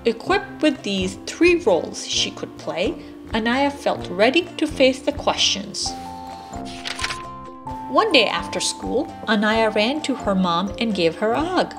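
A woman in her thirties reading a children's story aloud in an expressive manner meant for young listeners. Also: on the high side, slow pace, music in the background. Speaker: storybook reader, parent.

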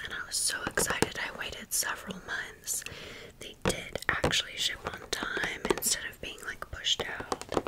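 A woman doing casual, chatty ASMR storytelling in a soft, breathy, unvoiced whisper. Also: lowish voice. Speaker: ASMR video creator.

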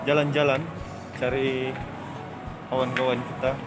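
A man's voice: medium pitch, flat delivery, measured pace. A man chatting casually to viewers as a vlog host on a handheld phone in a mall. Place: inside a busy shopping mall and shops. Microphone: handheld phone at arm's length. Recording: loud music over the voice. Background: loud music.

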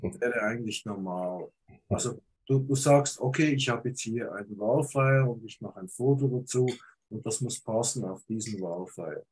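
Guy with a cold on zoom, husky, blunt, lots of uhs. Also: fairly low pitch, normal speed, a little mellow, poor audio. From Switzerland. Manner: blunt, critiquing, hesitant with many fillers. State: has a cold. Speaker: photographer giving feedback. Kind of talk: casual video call discussion. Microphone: video call.

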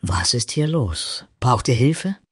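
A man voicing a direct, practical female soldier in a fantasy audio story, with a husky voice. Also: medium pitch, very animated, slow pace, no echo, studio quality, pitched above the performer's own voice, full character voice. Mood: firm. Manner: direct, matter-of-fact.

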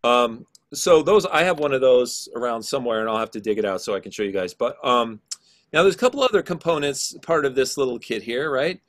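A middle-aged man with a low voice teaching an online class over a video call, explaining casually with many fillers. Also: expressive, a little fast, fairly bright tone.

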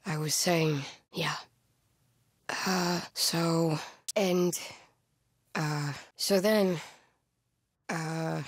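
An actress playing a wary, fierce female cult fighter in a video game, speaking in terse, clipped phrases with a husky voice. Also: lowish voice, dry recording, clean studio sound, full character voice. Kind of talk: video game enemy combat barks.

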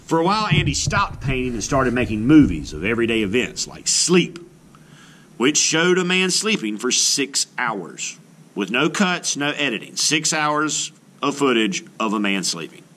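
A man reading a children's book aloud as an art teacher, adding expressive explanatory asides. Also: medium pitch, moderate pace. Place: indoors, book filmed on a table.

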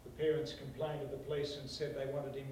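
Flat, matter-of-fact male panelist. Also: medium pitch, fast talker, slight background noise. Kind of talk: panel discussion. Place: small meeting room. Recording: echoey room from far off.

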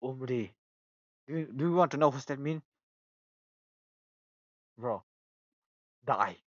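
A young man with a Russian accent, hosting a casual joking chat on a voice call, stammering and excitable. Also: mid-range voice, takes it slowly, dry recording, lo-fi sound. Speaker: channel host. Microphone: phone on a voice call.

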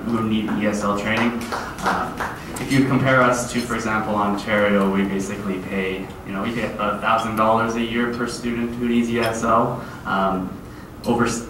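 A man in his thirties on a political panel, explaining and comparing figures into a handheld mic. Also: fairly low pitch. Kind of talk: panel debate answer. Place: large hotel meeting room. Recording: far-off echoey hall with noise.

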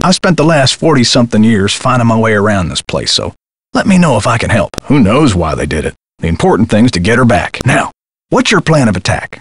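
An actor playing a kindly, folksy old theater projectionist in a video game, with old-fashioned turns of phrase and a husky voice. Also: male voice, fairly low pitch, dry recording, studio quality, exaggerated voice. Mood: helpful, earnest. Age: older. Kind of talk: scripted video game character dialogue.

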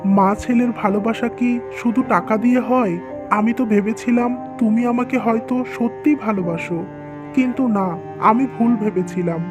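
A woman's voice: on the high side, fairly even intonation, moderate pace, over music, natural voice. A woman voicing a stern, easily hurt young husband and son in a cartoon drama. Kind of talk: dubbed cartoon drama dialogue.

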